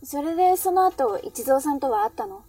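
A woman's voice: sits high, expressive, moderate pace, warm tone, distant mic, faint music behind. A woman playing an inquisitive, sympathetic cafe regular and confidante in a Japanese audio drama. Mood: curious.

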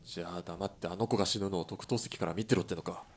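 An actor playing a gruff army sniper in a radio drama, speaking in short, clipped replies. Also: male voice, deep voice, fast, a little hiss or hum, lightly acted. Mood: defiant. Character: gruff male army sniper, terse and restless.

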